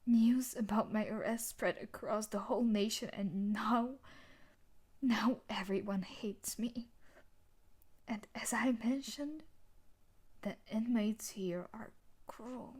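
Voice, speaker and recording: A woman performing a dramatic audio roleplay as a tough yandere bully turned desperate, with intense emotional delivery and a breathy voice that often sinks toward whispers. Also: fairly high pitch, slow pace, long pauses, a little mellow. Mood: broken, confessing. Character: tough sadistic yandere bully wife, now desperate and remorseful.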